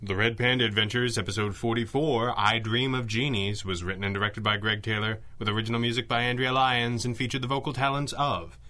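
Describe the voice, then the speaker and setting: A man's voice: medium pitch, steady tone, brisk, few pauses, sharp tone, soft background music. A man reading scripted programme credits as an announcer. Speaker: credits reader. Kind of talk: scripted narration, brisk credits.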